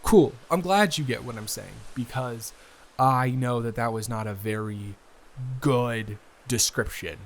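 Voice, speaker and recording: A man playing a friendly, bookish young guy, his best friend's brother, chatting casually and haltingly in an improvised roleplay audio. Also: medium pitch, natural voice. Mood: enthusiastic, fumbling. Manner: halting, rambling, self-interrupting with repeated restarts.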